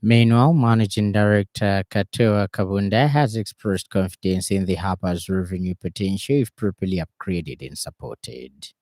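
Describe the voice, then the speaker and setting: A man's voice: fairly low pitch, moderate pace, hardly any pauses, a little mellow, no room sound. A man with a Zambian accent reading a scripted radio news bulletin in a formal announcing manner. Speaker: news reader. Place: radio studio.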